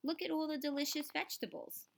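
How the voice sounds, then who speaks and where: A woman's voice: sits high, fairly even intonation, fast talker, gravelly. A woman reading a children's book aloud in a teaching way, speaking straight to the children and adding simple explanations. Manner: instructive, addresses children directly, sounds out words.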